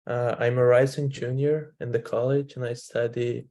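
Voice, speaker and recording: Student panelist, young man from Afghanistan, mellow mid voice, short plain answers. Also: medium pitch, level tone, normal speed. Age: 20s. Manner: plain, brief self-introduction.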